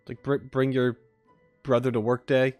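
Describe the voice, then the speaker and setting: A man's voice: mid-range voice, flat delivery, a little slow, darkish tone, faint music behind. A man giving casual, dry, sarcastic let's-play commentary as a gaming streamer, close to the mic.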